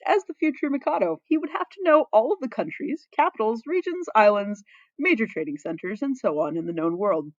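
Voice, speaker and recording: A woman's voice: a little high, very animated, brisk, no echo, dull audio. A woman narrating fan fiction expressively, playfully dramatic, with the odd aside.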